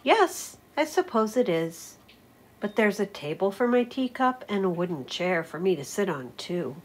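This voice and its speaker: A woman voicing a strange, weary traveling animal in a storybook, gentle, polite and homesick, speaking hesitantly and earnestly. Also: medium pitch, very animated, unhurried. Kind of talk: storybook read-aloud character voice.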